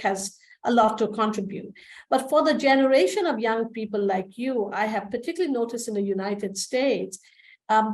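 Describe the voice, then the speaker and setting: A middle-aged woman from India, a professor, speaking at a panel in a measured, thoughtful way with frequent fillers. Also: a little high. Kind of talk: panel talk.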